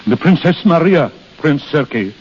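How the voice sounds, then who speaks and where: An actor playing an anxious, dignified middle-aged duke, speaking gravely and haltingly in 1930s radio style. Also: male voice, on the high side, animated, a little mellow, a little hiss or hum, natural voice. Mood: formal, uneasy. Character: anxious, dignified duke hosting a dreaded guest. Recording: muffled 1930s radio recording.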